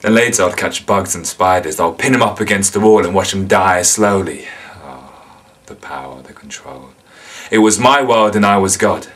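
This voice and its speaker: An actor playing an insane, menacing killer and resentful loner, confessing in a volatile dramatic monologue. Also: male voice, low-pitched, moderate pace. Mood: calm, menacing reminiscence, then savoring, cruel. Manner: confessional, volatile, swinging from hushed to explosive.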